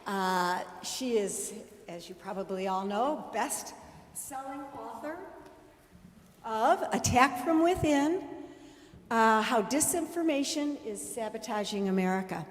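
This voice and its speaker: A woman hosting an event in a church room, introducing the guest speaker with many pauses and fillers. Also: on the high side. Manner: slow, halting, many fillers. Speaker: event host. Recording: echoey hall with long reverb.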